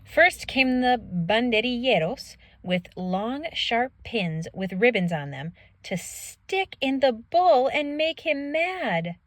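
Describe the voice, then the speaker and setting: A woman reading a children's story aloud theatrically, with expressive, dramatic emphasis. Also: a little high, slow pace, hardly any pauses, no echo.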